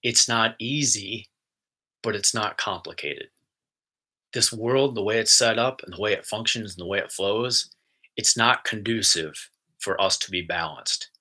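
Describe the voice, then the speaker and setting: A man talking casually as a spiritual host, chatty and easygoing, then slow and soft when he guides a relaxation exercise. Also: fairly low pitch. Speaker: spiritual host and intuitive channeler.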